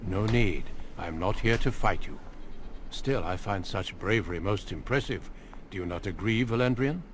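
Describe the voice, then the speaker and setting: An actor playing a calm, confident veteran warrior in a fantasy video game, speaking with measured, formal courtesy. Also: male voice, lowish voice, a little hiss or hum, natural voice. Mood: composed, assured.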